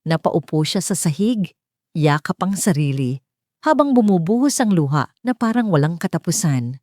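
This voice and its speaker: A woman reads a dramatic story as narrator. Her voice is rather low and very mellow, recorded dry and close with no room sound. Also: no echo.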